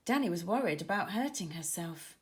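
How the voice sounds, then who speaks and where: A woman narrating a scripted children's story in a dramatic, expressive manner. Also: lowish voice, fairly quick. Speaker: author narrator.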